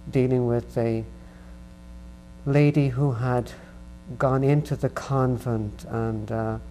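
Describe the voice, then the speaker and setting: This is a man from Ireland hosting a faith program. He speaks at an unhurried pace with a warm, dark tone. A light hum sits under the recording. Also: mid-range voice. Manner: slow, earnest and unhurried. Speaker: host. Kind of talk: religious interview.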